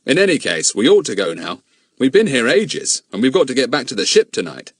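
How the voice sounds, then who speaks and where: A man voicing a calm, practical grown man who speaks firmly and reasons things out. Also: medium pitch, breathy edge, no room sound, clean studio sound, voice pushed up, full character voice. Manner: firm, reasoning, brisk.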